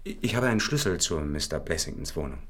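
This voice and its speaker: An actor playing a shaken, earnest physician who explains himself a little hesitantly in a German radio drama. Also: male voice, extremely low pitch, lively intonation, fast, husky, full character voice. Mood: earnest, uneasy.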